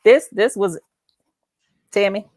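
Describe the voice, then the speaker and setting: A woman's voice: mid-range voice, slow pace, darkish tone, no room sound, clean studio sound. Emphatic woman hosting a heartfelt talk show. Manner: emphatic, affirming, repeats phrases for emphasis. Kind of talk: faith-based conversational interview.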